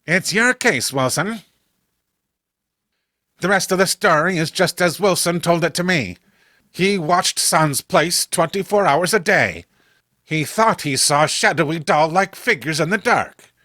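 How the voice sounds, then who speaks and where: A man's voice: on the high side, lively intonation, measured pace, sharp tone, no echo, studio quality. A man playing an authoritative veteran police commissioner, recounting a case in a horror comic reading. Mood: matter-of-fact. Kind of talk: dramatic storytelling, horror comic voice acting.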